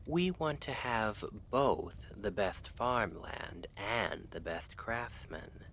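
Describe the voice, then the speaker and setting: Man playing a slow, low-voiced lovestruck assassin. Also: expressive, gravelly, a bit bright, a little hiss or hum, full character voice. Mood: earnest, cautious. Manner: measured, formal, hesitant phrasing. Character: earnest, lovestruck male assassin from a noble house. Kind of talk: ASMR roleplay, scripted.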